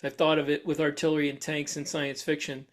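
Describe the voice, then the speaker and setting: A man's voice: a little high, completely flat delivery, a little fast. A man co-hosting a podcast, speaking in a casual, inquisitive, conversational way. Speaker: podcast co-host. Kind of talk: casual discussion.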